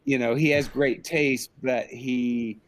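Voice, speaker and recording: A man's voice: medium pitch, fairly even intonation, slow. A middle-aged man giving a reflective, casual interview, candid and self-deprecating about himself. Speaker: interview guest, film director. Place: indoors, on a group video call.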